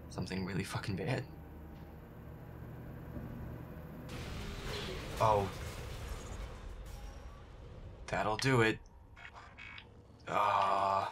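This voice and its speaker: A man voicing a feisty, grumpy young werewolf boyfriend in a gameplay roleplay, muttering irritably and swearing to himself in a breathy voice. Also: deep voice, level tone, measured pace, fairly bright tone, heavily acted. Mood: alarmed, exasperated. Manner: foul-mouthed, irritable muttering with nervous outbursts.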